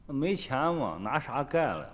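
A man's voice: mid-range voice, very animated, moderate pace, big-room sound. An actor playing a guarded, poor rural man in a radio drama, giving short, evasive replies.

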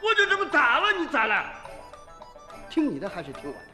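An actor playing a blustering, exasperated rural village head in a Chinese radio drama, talking in a folksy, roundabout way. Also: male voice, very high-pitched, wildly swooping pitch, fairly quick, pushed and bright, full character voice. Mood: flustered, insistent. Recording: roomy echo, faint music behind.

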